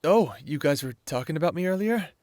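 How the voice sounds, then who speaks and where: A man's voice: a little high, expressive, a little fast, darkish tone, no echo, studio quality, natural voice. A man acting a friendly, good-natured werewolf in an audio drama.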